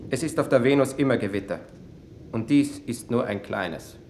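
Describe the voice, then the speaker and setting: An actor playing a stoic envoy of hardy space settlers in a 1960s radio drama, terse, matter-of-fact and unyielding. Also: male voice, medium pitch, expressive, moderate pace, lightly acted. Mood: stoic, blunt. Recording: echoey, noisy old radio drama.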